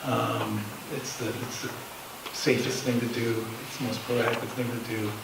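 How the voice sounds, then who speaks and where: A man answering as a panelist in a public discussion, speaking haltingly with many pauses. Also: mid-range voice, little pitch movement, gravelly. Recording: distant mic, echoey room, noisy.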